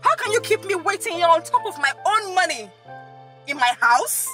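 A woman playing a hot-tempered, money-proud Nigerian woman in a comedy skit, scolding in loud, indignant, repeated phrases with a Nigerian accent. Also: very high-pitched, sing-song, moderate pace, music in the background. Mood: scornful scolding.

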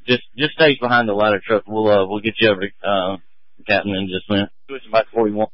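A man's voice: lowish voice, steady tone, fast talker, no room sound. A man speaking as a fire incident commander over a two-way radio at a fire scene. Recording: muffled two-way radio transmission.

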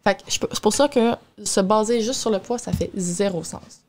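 A woman's voice: medium pitch, normal speed, a little hiss or hum. A young woman with a Québécois French accent explains things in a casual, informal interview style. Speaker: guest nutritionist. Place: small radio studio, indoors. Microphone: boom-arm studio microphone with headphones.

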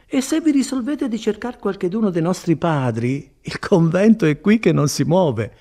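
Man playing a chatty placid friar, animated and gravelly. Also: high-pitched, normal speed, voice pushed up. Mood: coaxing. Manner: rambling, consoling, folksy. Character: chatty, placid old-fashioned friar, folksy and evasive. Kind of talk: dramatized audiobook reading.

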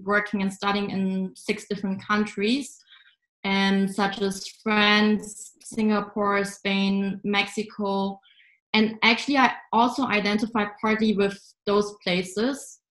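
A woman in her twenties from Germany speaks into her laptop webcam in an interview. Her pitch sits in the middle, and she talks in a measured, thoughtful way with many ums. The room around her sounds roomy and echoey. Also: fairly bright tone. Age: 20s. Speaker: interview guest, investor. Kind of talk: answering interview questions. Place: home room by a window.

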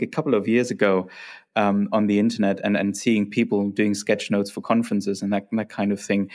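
Male podcast host, fairly low voice, curious and conversational, light on polish. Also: darkish tone. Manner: curious, conversational, with some stuttered restarts. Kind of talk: podcast interview and narration.

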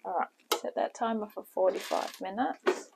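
A woman's voice: medium pitch, moderate pace, gravelly. A middle-aged woman, chatting casually while she cooks in her home kitchen, often trailing off into muttering. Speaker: home cooking channel host. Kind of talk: casual cooking tutorial. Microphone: camera on the counter. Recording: noisy home kitchen recording.

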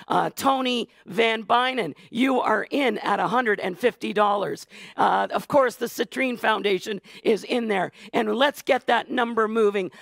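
A hoarse Canadian man hosting a live charity gala auction, hyping donors with rapid, energetic calls from a studio stage. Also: sits high, dry recording. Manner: rapid hype, calling out donors. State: hoarse, losing voice. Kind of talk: high-energy live fundraising emcee. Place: studio stage with blue curtains.

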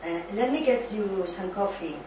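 Recorded at a distance with clear noise and heavy echo, an amateur actress plays a sensible young woman in a sitcom. She is caring but teasing. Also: mid-range voice, animated, moderate pace, natural voice. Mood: brisk, caring. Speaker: student in an amateur dramatized reading. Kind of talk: amateur dramatized sitcom reading.

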